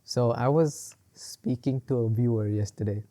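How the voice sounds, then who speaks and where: Male podcast co-host, mid-pitched and mellow, loose rambling chat full of fillers and laughs. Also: normal speed. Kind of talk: casual chat.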